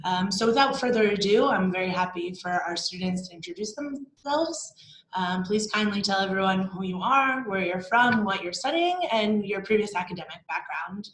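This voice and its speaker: A woman's voice: mid-range voice, normal speed, fairly bright tone. A young woman hosting an online student panel as marketing coordinator, upbeat and welcoming, with a few restarts. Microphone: video call. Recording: roomy echo, faint hum.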